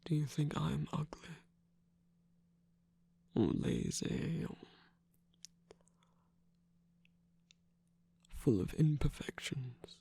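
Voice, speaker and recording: Casting: tender, teasing villain sweetheart for romantic ASMR performer of unclear sex, very husky half-whisper with a creaky edge. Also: medium pitch, wildly swooping pitch, takes it slowly, no room sound, exaggerated voice. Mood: tender, reassuring. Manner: tender, soft-spoken, teasing. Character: affectionate villain lover, battle-scarred, gently scolding and reassuring. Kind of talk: intimate ASMR romantic roleplay.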